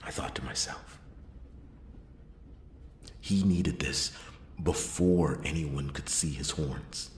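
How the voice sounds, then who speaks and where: Casting: male actor as brooding noir private eye, extremely low register, slow hushed ASMR narration, breathy near-whisper. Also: echoey room. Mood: solemn, tender. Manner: slow, halting, dramatic pauses. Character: brooding male noir detective recounting a murder case. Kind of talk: noir ASMR roleplay, first-person narration.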